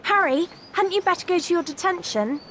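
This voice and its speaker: An actress playing an earnest, bossy, bookish teenage schoolgirl in a video game, brisk, precise and admonishing. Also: extremely high pitch, fairly bright tone, a little hiss or hum. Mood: concerned, admonishing. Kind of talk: video game character acting.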